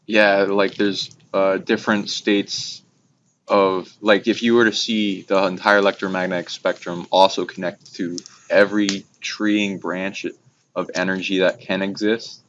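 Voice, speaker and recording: Male, very low and flat, halting casual rambler on esoteric subjects; dull recording with noise behind. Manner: halting, trailing phrases with abstract jargon. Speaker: speaker on esoteric topics. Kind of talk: casual rambling spiritual discussion.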